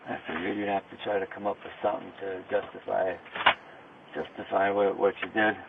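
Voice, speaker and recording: A man under arrest talking casually with police in a halting way, often repeating his phrases. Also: lowish voice, little pitch movement, creaky. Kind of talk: casual conversation during an arrest. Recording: noisy, tinny police body-camera or vehicle audio.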